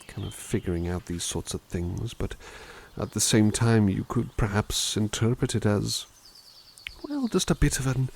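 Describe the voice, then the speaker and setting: A man playing a gentle, kindly butler who softly reads poems aloud in an ASMR roleplay and muses thoughtfully on each one. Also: on the low side, gravelly, a little hiss or hum, exaggerated voice. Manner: soft, musing, thoughtful asides between poems. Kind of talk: ASMR roleplay poetry reading.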